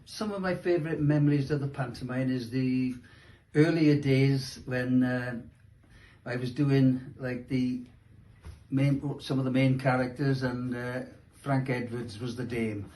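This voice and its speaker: A man who performs in pantomime, reminiscing casually and haltingly as he searches for words. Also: medium pitch, fairly even intonation, mellow, poor audio.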